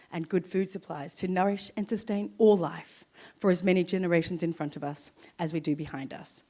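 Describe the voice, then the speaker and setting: An Australian woman giving a ceremonial welcome from a stage, speaking warmly and inviting the audience to join in. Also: mid-range voice. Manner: warm, inviting, encourages the crowd to join in. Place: stage in a hall.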